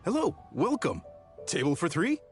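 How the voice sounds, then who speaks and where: A man's voice: on the high side, huge pitch swings, normal speed. A man voicing a proud, jovial, hearty restaurant chef in a video game. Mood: welcoming. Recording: music behind the voice. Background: music.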